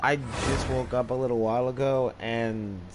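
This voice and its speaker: A man hosting a casual game let's play, congested, talking in a rambling, halting way. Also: medium pitch, steady tone, background noise. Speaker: gaming channel host. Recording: game music loud behind.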